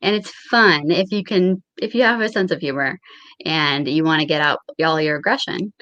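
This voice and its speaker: A woman's voice: sits low, moderate pace, fairly bright tone, no echo, muffled recording. A woman in her twenties chatting casually as a guest, giggly and self-deprecating. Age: 20s. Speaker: guest, comedian. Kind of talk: casual chat.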